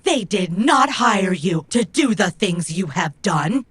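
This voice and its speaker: A woman's voice: mid-range voice, animated, takes it slowly, fairly bright tone, breathy edge, exaggerated voice. A woman playing a proud, stubborn female robot who speaks in formal, precise, stilted phrases in an audio drama. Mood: stern, reproachful.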